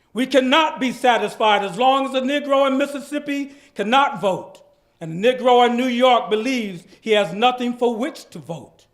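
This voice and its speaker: Guy doing a big preacher style speech reading, really high pitched voice, emphatic, stretches out his phrases. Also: a bit bright. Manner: emphatic, declamatory, with drawn-out phrases and deliberate repetition. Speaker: orator performing a dramatic reading of a speech. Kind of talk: dramatic civil rights oratory, preacher-like. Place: live public event at a heritage center.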